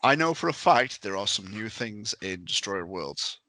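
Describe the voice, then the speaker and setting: A man's voice: lowish voice, very animated, moderate pace, bright, forceful tone, dry recording. A man talking casually as a guest in a podcast discussion.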